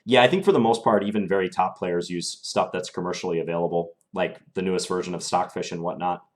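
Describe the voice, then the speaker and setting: Deep-voiced man commentating chess live. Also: quick pace. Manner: relaxed, thinking aloud with many fillers. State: voice slightly strained. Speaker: chess streamer.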